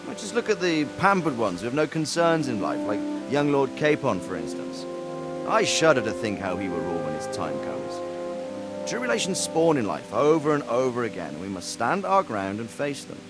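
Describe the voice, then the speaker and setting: A man's voice: mid-range voice, sing-song, normal speed, loud music behind the voice, lightly acted. A man playing a grave mentoring nobleman. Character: wise older nobleman advising a young man. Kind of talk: video game voice acting.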